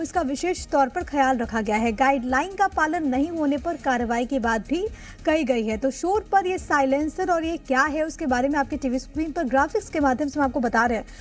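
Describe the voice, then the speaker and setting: An Indian woman anchoring live television news, speaking emphatically and repeating key points over background music. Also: sits high.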